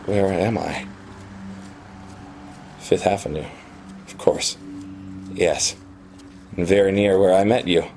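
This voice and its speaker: An actor playing an obsessive, wistful programmer in love, in a radio play. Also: male voice, deep voice, fairly even intonation, slow pace, long pauses, a little mellow, a little hiss or hum. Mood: wistful, brooding.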